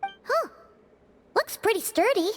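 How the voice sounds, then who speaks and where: A very high, squeaky little fairy, chirpy and chatty, with exclamations that swoop up and down. It is a childlike anime game companion, excitable and eager. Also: female voice, slow, noisy background, heavily acted. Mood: chatty, curious. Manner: refers to self in third person, exclamatory.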